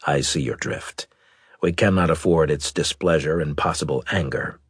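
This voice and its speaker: A very low, husky admiral , as a male narrator plays him, big, gruff and commanding. He speaks deliberately and skeptically, like a senior officer questioning a subordinate, in a dry audiobook recording. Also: no echo. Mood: measured. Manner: deliberate, authoritative, probing. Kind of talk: audiobook character dialogue.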